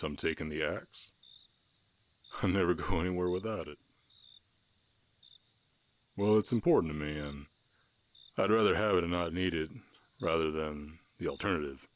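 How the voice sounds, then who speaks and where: A man with an American Southern accent voicing a gentle, shy, gruff orc ranch hand in a romantic western audio roleplay, speaking haltingly and protectively. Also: low voice. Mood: shy, fond. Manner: bashful, halting, softly protective.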